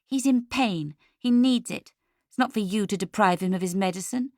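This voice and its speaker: A woman's voice: medium pitch, normal speed, darkish tone, dry recording, clean studio sound, voice pushed up, lightly acted. Woman doing a fierce, defensive daughter, heated. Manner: heated, clipped, insistent. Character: fierce, defensive, loyal adult daughter, hot-tempered.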